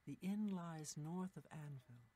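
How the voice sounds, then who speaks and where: A woman's voice: deep voice, expressive, a little slow, mellow, husky, heavily acted. A woman voicing a stern female mage guild official in a video game, speaking in a formal, commanding way. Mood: grave. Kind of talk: video game character dialogue.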